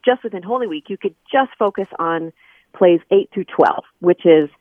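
A woman's voice: fairly low pitch, expressive, moderate pace, dry recording. A woman interview guest, a scholar, explaining things conversationally with examples over a telephone line. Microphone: phone.

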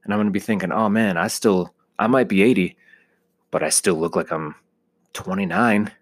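American male, thirties, low-pitched voice, loose conversational solo monologue with joking exaggeration. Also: moderate pace. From United States. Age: 30s. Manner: joking, self-deprecating exaggeration. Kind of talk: casual rambling solo monologue.

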